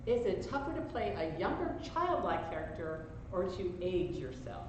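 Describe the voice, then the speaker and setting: Low-voiced woman in an echoey room. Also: sing-song, normal speed. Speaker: community theater actor. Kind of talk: casual cast chat. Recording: very echoey room with background noise.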